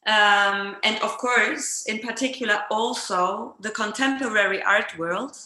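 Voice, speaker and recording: A woman interviewer explaining reflectively in conversation, hesitant and often pausing mid-sentence, recorded with roomy echo. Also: medium pitch, bright, forceful tone. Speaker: interviewer in an art dialogue. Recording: roomy, echoing sound.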